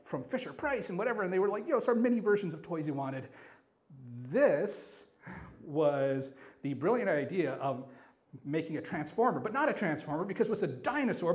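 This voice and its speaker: A man telling a comic personal anecdote live at a storytelling event, rambling and animated, acting out bits of dialogue. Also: high-pitched, normal speed.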